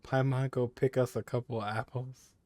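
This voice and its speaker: A man's voice: mid-range voice, level tone, moderate pace, darkish tone, no room sound. A man doing casual playthrough commentary as a gaming creator, reacting in an informal, rambling way. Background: faint music.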